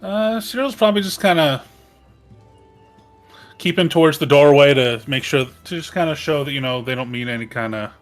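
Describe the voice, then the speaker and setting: A man's voice: a little high, normal speed. A man chatting casually as a player in a tabletop game, hesitant and thinking aloud. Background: music.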